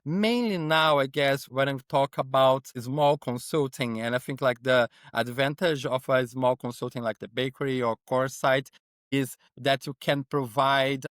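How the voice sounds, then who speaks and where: A Brazilian man speaks conversational English as an interview guest, with a Brazilian accent from his native Portuguese. His voice is smooth and mid-pitched, recorded dry and close. He often tags his points with a checking "right?". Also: steady tone, a little slow, a bit bright, dry recording. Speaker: podcast guest, innovation consultant.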